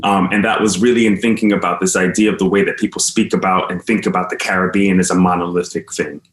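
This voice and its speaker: A man with a very deep voice talks about his art on a panel. He pauses often and restarts his thoughts. The room around him is echoey. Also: steady tone. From United States. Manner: hesitant, many ums and restarts. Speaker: interdisciplinary artist, panelist. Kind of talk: panel conversation.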